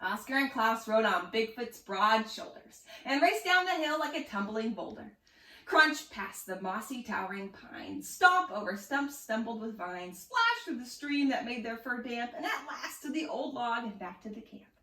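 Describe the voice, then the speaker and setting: A woman's voice: a little high, animated, moderate pace, sharp tone. A woman expressively reading a rhyming children's story aloud. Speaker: storybook reader. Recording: echoey room, distant mic.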